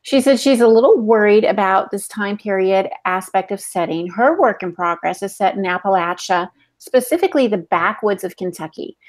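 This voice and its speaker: A woman in her forties with a medium-pitched voice, co-hosting a podcast over a video call from home. She is chatty and informal as she relays questions from listeners. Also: lively intonation, moderate pace, hardly any pauses. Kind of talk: casual chat and reading out questions. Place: small home room, indoors. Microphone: video call on earphones.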